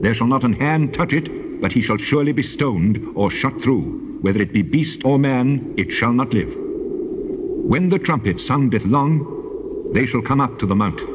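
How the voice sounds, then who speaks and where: A man playing God as a stern lawgiver in dramatized scripture, with measured, authoritative, formal archaic delivery on an old cassette. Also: medium pitch, lively intonation, natural voice. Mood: stern, commanding. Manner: solemn, measured, authoritative declamation. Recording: noisy old cassette transfer.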